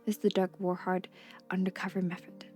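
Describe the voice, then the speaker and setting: A woman's voice: fairly low pitch, monotone, a little fast, darkish tone, close to an everyday voice. Woman reading as dramatic pulp spy narrator. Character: excitable announcer of a pulpy spy book club. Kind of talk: dramatic pulp storytelling read aloud. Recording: game music behind the voice.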